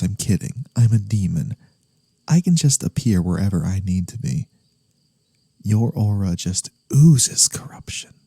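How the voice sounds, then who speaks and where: A man playing a teasing, theatrical but harmless incubus demon in a soft-spoken ASMR roleplay, breathy and drifting into whispers. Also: deep voice, no echo, exaggerated voice. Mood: teasing, sly.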